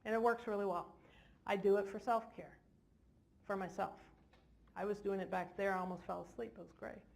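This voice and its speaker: Woman therapist doing a casual talk in a big lecture room, medium pitch, kinda jokey about herself. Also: fairly even intonation, fairly quick, gravelly, a little mellow. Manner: jokey, self-deprecating asides. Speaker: clinician giving a talk. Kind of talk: informal lecture to a live audience. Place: large lecture hall.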